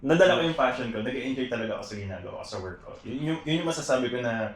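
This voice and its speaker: A man's voice: medium pitch, expressive, rapid-fire. A young man with a Filipino accent chatting casually as a podcast guest, mixing in English phrases. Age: young adult. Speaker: podcast guest, air traffic controller. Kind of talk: casual podcast chat, code-switching. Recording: echoey room, faint background noise.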